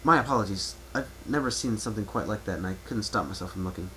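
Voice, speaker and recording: A man's voice: lowish voice, fast talker, pushed and bright. Casting: man reading as young male pony traveler, reserved, courteous, casual, over faint home-recording noise. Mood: apologetic. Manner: polite, hesitant, casual. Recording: noisy amateur home recording.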